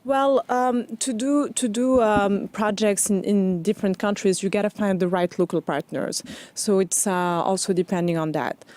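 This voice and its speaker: Woman from Morocco, halting interview guest. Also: mid-range voice, a little mellow, no room sound. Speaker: interview guest, foundation founder. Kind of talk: conversational interview.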